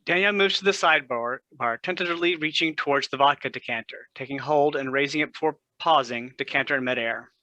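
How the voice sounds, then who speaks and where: A man's voice: medium pitch, fast, few pauses, bright, forceful tone, dry recording, muffled recording. A man reading a play's stage directions as scripted narration.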